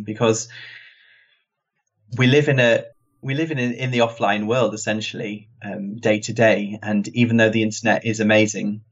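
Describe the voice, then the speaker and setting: A man with a British accent in an easygoing, reflective casual chat. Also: fairly low pitch. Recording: dull lo-fi sound.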